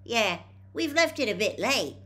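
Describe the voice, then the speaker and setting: A woman's voice: a little high, big pitch swings, measured pace, bright, forceful tone, voice pushed up, full character voice. Woman doing teenage boy, cheeky, mischievous, mock-innocent, storybook character. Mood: vague, innocent.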